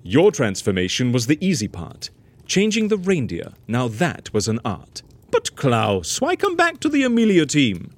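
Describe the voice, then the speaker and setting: An actor playing a flamboyant, amused fixer with a theatrical, teasing manner in a rhyming audio comedy. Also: male voice, mid-range voice, wildly swooping pitch, moderate pace. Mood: teasing, curious. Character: flamboyant, amused fixer who fakes deaths. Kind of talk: rhyming comic audio drama.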